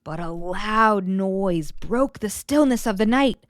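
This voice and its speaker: A woman reads a children's story aloud with a very lively, swooping delivery. Her pitch sits in the middle for a woman, and the recording is dry and close, with little room sound. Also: slow, no echo. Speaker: children's book narrator. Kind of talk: animated read-aloud storytelling.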